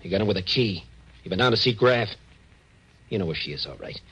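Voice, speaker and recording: An American actor playing a vengeful, threatening fugitive in a 1940s radio drama, hard and demanding. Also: male voice, fairly low pitch, lively intonation, fast, fairly bright tone, breathy edge, exaggerated voice, low-quality recording. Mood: angry demanding. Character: menacing, vengeful male fugitive gangster. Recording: hissy old radio recording.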